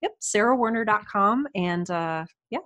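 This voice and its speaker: A woman's voice: medium pitch, animated, moderate pace, a bit bright. A woman chatting casually and enthusiastically as a guest in an informal interview. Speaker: podcast guest, writer and audio drama producer.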